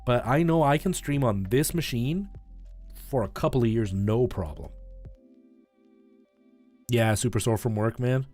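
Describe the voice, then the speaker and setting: A man's voice: medium pitch, a little slow, pauses often, darkish tone. A man streaming, chatting casually with his viewers and explaining things in a conversational way, often checking in with them. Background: music.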